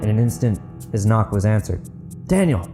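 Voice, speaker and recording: Male podcast narrator and host, slightly low voice, story reading with guitar music clearly underneath. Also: normal speed. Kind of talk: audiobook narration and podcast outro.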